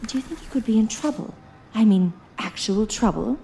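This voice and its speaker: A woman's voice: fairly high pitch, expressive, normal speed, vocal fry, mellow. A woman playing an anxious, observant investigator in a period horror audio drama, speaking earnestly and formally with an uneasy edge. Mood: concerned. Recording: faint music under the voice. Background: faint music.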